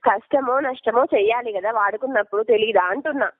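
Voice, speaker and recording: A high-pitched woman speaks as a debt collector, firing off demands quickly and confrontationally. She scolds and insists without softening. The sound is a dry, narrow telephone recording. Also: dry recording. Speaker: loan recovery agent on a phone call. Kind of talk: debt collection phone call. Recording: muffled, narrow-band telephone line recording.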